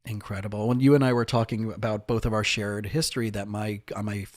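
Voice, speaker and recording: A man's voice: fairly low pitch, fairly even intonation, fast talker, darkish tone, dry recording. Enthusiastic male podcast host, dry sound. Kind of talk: upbeat podcast interview.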